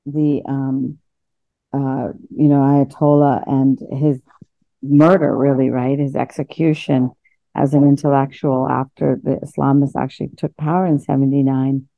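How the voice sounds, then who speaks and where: Creaky-voiced woman in casual podcast conversation. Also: low voice, dry recording, dull audio.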